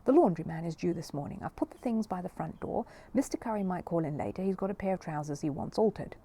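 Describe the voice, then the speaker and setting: A woman's voice: lowish voice, quick pace, mellow, husky. A woman reading the part of a caring, practical housewife in a storybook. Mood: matter-of-fact.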